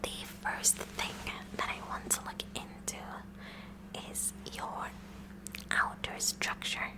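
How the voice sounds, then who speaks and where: A woman's voice: close to an everyday voice, rough recording. Woman playing a whispering, kooky science club girl. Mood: earnest, eager. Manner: rambling, halting, nerdy, addressing the listener directly. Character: kooky eager female high school science club president. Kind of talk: whispered ASMR roleplay.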